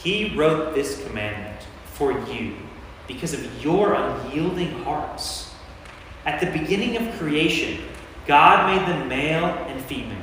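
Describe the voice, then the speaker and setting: Stern commanding teacher acted by a man, forceful, slightly high voice, declamatory Bible drama, very echoey hall. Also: expressive, unhurried. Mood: firm, warning. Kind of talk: amateur staged Bible reading. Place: large church interior. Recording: echoey church hall from far off, faint noise.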